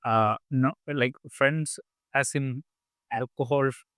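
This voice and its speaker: A young man from India, hosting a casual chat and often pausing, repeating words and using fillers. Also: medium pitch, steady tone, a bit bright, clear, resonant tone, dry recording, clean studio sound. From Kerala, India. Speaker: podcast host. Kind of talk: casual conversation.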